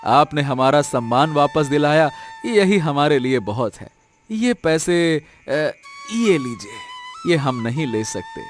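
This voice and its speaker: A man's voice: fairly high pitch, huge pitch swings, takes it slowly, soft background music, full character voice. A man playing a humble, honest poor village husband in a Hindi cartoon story, speaking earnestly with hesitant interjections. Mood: respectful, welcoming.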